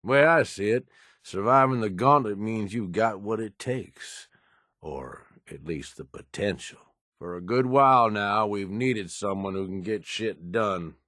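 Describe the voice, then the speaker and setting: An actor voicing a gruff, streetwise raider adviser in a video game, with a husky voice and a blunt, coaxing, profane way of talking. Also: male voice, on the low side, a little slow, a bit bright, dry recording, studio quality. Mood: explanatory, wry. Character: gruff, streetwise male raider right-hand man, pragmatic schemer. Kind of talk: video game character dialogue.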